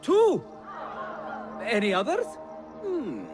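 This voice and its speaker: A man's voice: very high-pitched, wildly swooping pitch, takes it slowly, over music, exaggerated voice. An actor playing a flamboyant, genial male auctioneer in a video game, with grandiose, playful showmanship. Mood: excited showmanship.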